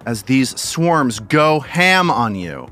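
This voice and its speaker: A man running a tabletop game, taking his time over each line. His narration is measured and dramatic, with a dry humor under it. His voice sits at an ordinary middle pitch. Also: big pitch swings, pushed and bright, soft background music. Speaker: game master.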